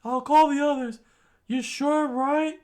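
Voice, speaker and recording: A man voicing an excitable, panicky young male fighter who yelps and exclaims. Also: extremely high pitch, slow pace, voice pushed up. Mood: excited. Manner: yelping, exclamatory.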